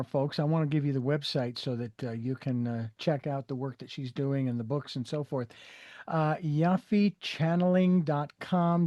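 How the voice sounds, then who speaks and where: A man's voice: a little high, dark tone, no room sound, muffled recording. A man hosting an interview show, speaking in a rambling way with many fillers and restarts. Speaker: podcast host.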